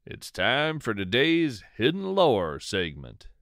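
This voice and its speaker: Slow, expressive man narrating a story. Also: mid-range voice, creaky, no room sound, clean studio sound. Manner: measured, theatrical, whimsically formal delivery. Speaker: podcast host. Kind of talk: scripted first-person storytelling narration.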